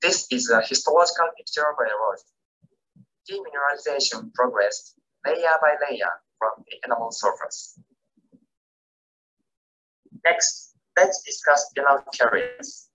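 A man whose first language is Japanese, reading a scripted academic lecture as keynote speaker in a careful, measured way. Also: medium pitch, expressive, sharp tone, low-quality recording. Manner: careful, measured reading with non-native grammar.

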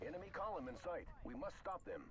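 Eager WWII soldier, clipped urgent barks, high voice, video game unit, loud battle noise behind. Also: male voice, sing-song, fast. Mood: urgent, dutiful. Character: eager, dutiful World War II soldiers reporting to their commander. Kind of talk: short military unit barks.

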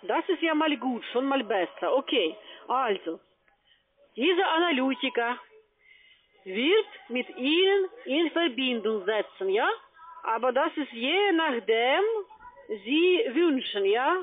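Over a tinny phone line comes a high-voiced woman with a foreign accent. She works as a call-center agent, pressing her sales pitch in non-native phrasing and checking in again and again. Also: very animated, slow. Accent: foreign accent in German. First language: not German. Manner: pushy, repeatedly tagging phrases with "ja".

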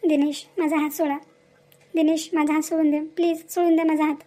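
A runaway young mother in a cartoon moral story , performed by a woman, distressed and accusing. Her voice is extremely high and fast, with a pleading edge. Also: little pitch movement, dark tone, natural voice.

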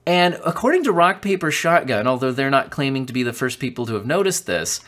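A man's voice: mid-range voice, very animated, fairly bright tone. A young man hosting a casual tech news show from a small room at home, reading from articles and commenting in chatty bursts with plenty of fillers. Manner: chatty, filler-heavy, quick bursts of disbelief. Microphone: studio condenser mic off camera.

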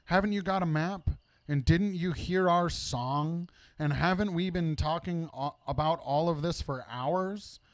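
A proud dwarf lord from a storybook, put on by a male reader. He holds forth in a pompous, grandiose way, self-important throughout, in a fairly high voice recorded dry. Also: measured pace, few pauses, no echo, lightly acted. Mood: exasperated.

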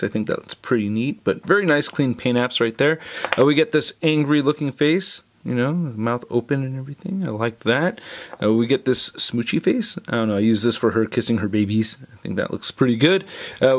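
Male toy reviewer, average pitch, informal and chatty, casual hands-on review read.

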